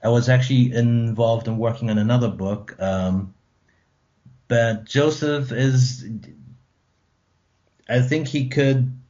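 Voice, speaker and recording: Slow-spoken German-born man, hesitant. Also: mid-range voice, mellow, dull audio. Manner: thoughtful, hesitant with many ums. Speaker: author and translator, guest. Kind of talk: radio interview.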